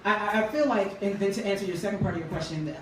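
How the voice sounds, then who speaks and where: A man with a high voice, a guest singer talking casually in a radio interview. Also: quick pace. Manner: relaxed, chatty, laughs easily. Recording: roomy, distant mic, faint noise.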